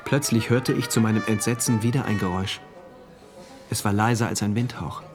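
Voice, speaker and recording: An actor playing an awed, uneasy diarist, a loyal citizen of a dystopia, narrating a German radio play in a measured, literary way. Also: male voice, fairly low pitch, close to an everyday voice. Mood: alarmed, stunned. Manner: measured, literary, solemn recounting. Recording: loud music under the voice. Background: music.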